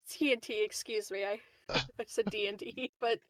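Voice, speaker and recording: A woman playing a tabletop game, chatting casually in a relaxed, hesitant way. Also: medium pitch, normal speed, low-quality recording.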